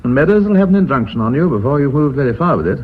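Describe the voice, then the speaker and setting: A man's voice: mid-range voice, big pitch swings, very fast, slightly rough. A man acting in a radio drama as a courteous, dignified titled businessman with a formal manner. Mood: cautious. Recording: dull radio recording, faint hiss.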